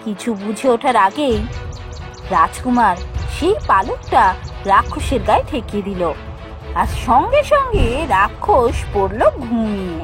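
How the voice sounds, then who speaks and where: A woman's voice: on the high side, very animated, a little slow. A woman narrating a scripted fairy-tale cartoon. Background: music.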